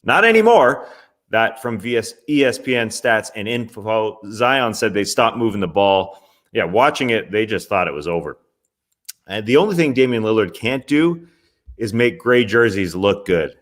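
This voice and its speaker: A man's voice: fairly low pitch, expressive, moderate pace, a bit bright. Chatty man recapping basketball games. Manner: casual, chatty, wry asides. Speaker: sports podcast host. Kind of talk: NBA recap commentary.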